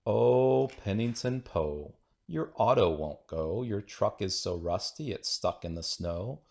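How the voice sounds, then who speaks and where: A man's voice: fairly low pitch, expressive, slow, gravelly, a little mellow. A man reading children's rhyming poems aloud in a playful, singsong, rhythmic way. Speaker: children's book reader.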